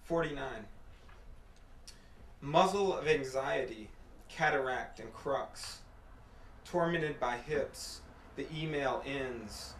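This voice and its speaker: A man reads poetry at a slow, deliberate pace, setting short phrases apart with pauses. His voice sits at a middle pitch. The room is echoey, with faint noise behind him. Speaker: poet reading own work. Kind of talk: poetry reading. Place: apartment room at a live reading.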